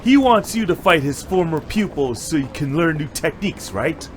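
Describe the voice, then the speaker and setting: A man's voice: fairly high pitch, expressive, normal speed, slight background noise, close to an everyday voice. A man playing a sly, money-minded hostess club tout and former fighter in an amateur anime-style drama, smug, teasing and given to philosophizing. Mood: pitching, intrigued.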